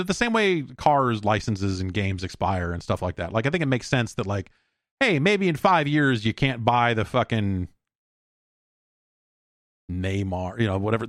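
Animated man hosting a casual podcast. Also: medium pitch, dry recording, studio quality. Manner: rambling, conversational, informal. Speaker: podcast host. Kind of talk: casual solo podcast talk and host-read ad.

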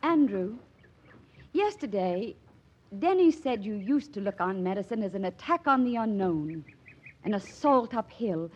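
An actress playing a gracious, principled young doctor's wife in a 1940s radio play. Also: female voice, mid-range voice, lively intonation, a little slow. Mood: earnest, troubled. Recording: dull vintage radio recording.

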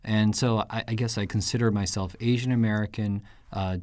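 A man's voice: on the low side, fairly even intonation, a little fast, darkish tone, dry recording. A man born in Japan hosting a podcast, reading a scripted introduction and then asking questions with a few hesitations. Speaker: podcast host. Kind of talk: podcast interview.

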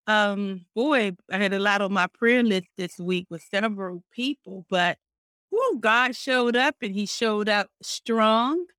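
A middle-aged woman co-hosting a casual podcast, expressive and animated, speaking warmly about faith and community. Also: medium pitch, unhurried, smooth, dry recording, clean studio sound. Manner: warm, expressive, talks about faith and blessings; frequent fillers and restarts, animated storytelling. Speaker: podcast co-host.

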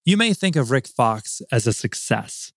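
A man's voice: mid-range voice, sing-song, a little slow, no echo, studio quality. Earnest male podcast host. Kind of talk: scripted intro narration and interviewing.

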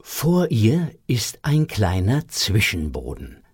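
A man's voice: fairly low pitch, wildly swooping pitch, takes it slowly, a little mellow, husky. A man narrating a scripted audio drama for children.

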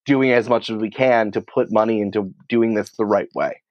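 A man's voice: lowish voice, moderate pace, dry recording, highs cut off. A man speaking as a guest in a casual interview, explaining things in an informal, colloquial way. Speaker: podcast company creative head, interview guest.

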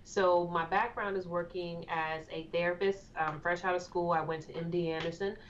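A woman's voice: fairly low pitch, steady tone, moderate pace. A woman from Austin, Texas, a therapist, explaining her work in a composed, articulate way. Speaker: therapist and guest. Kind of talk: interview answers. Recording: dull lo-fi sound with faint noise.

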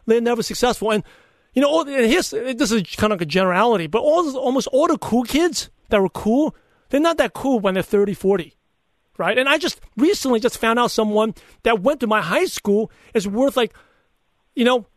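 Podcast guest: high-voiced male, Brooklyn-Chinese accent with a lisp, earnest anecdotal delivery, very dry recording. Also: no room sound. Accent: Brooklyn mixed with Chinese. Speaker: podcaster and coach, interview guest. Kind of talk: conversational interview answers with personal anecdotes.

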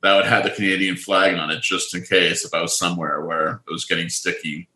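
Low, bright-toned man in an echoey room. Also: a little fast. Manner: relaxed anecdotal storytelling. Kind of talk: casual chat. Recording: roomy echoey mic.

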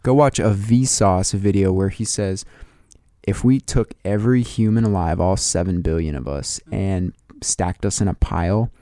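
A man's voice: low voice, normal speed, dark tone, dry recording. An American man hosting a podcast, chatting casually in a colloquial, musing way. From United States. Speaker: podcast host. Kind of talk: casual chat.

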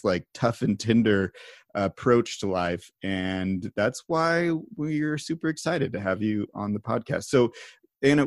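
A man's voice: fairly low pitch, no room sound, clean studio sound. A man hosting a podcast as a pastor, chatting casually, laughing often and speaking loosely with fillers. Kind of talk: casual chat. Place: remote call.

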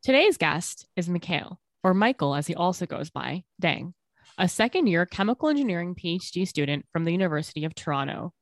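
A woman hosts a podcast interview, upbeat and encouraging as she introduces her guest and prompts him. Her voice sits a little low, and the sound is dry and close. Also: big pitch swings, brisk, no room sound. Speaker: podcast co-host.

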